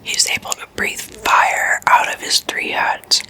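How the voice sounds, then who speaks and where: An ASMR host whispering in an intimate slumber-party chat, addressing listeners as guests and softly repeating words. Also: a little slow. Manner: repeats single words in soft trailing echoes.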